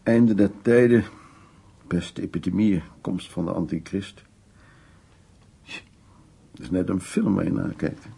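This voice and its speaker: Husky, gravelly suave man. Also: sits low, measured pace, pauses often, mellow, exaggerated voice. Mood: musing. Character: worldly, insistent, lonely man courting a younger woman. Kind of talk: naturalistic Dutch radio drama acting.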